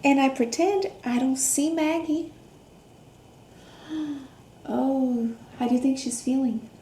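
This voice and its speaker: Lady doing kids story time, high soft mellow voice, asks the little ones questions, kinda echoey room. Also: takes it slowly. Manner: expressive, with gasps, hums and hushed asides. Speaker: storyteller reading a picture book to children. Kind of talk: interactive children's read-aloud with questions to young listeners. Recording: roomy echo, faint background noise.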